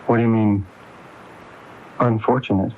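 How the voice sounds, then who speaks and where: A man's voice: on the low side, fairly even intonation, unhurried, close to an everyday voice. An actor playing an anxious, guilt-ridden man cornered by gangsters, hesitant and earnest, in a radio mystery. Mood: worried. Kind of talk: radio mystery drama acting. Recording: dull, noisy AM radio recording.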